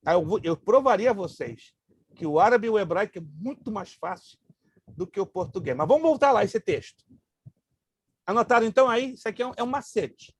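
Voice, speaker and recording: A man whose first language is Hebrew, a pastor teaching a Bible class, emphatic and joking, slowly repeating grammar terms. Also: high voice, animated, husky, no echo.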